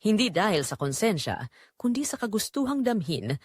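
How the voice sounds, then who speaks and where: A woman delivers dramatic story narration with a suspenseful build. Her pitch moves widely and expressively, and the sound is dry with very little room in it. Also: big pitch swings, moderate pace, slightly rough, dry recording.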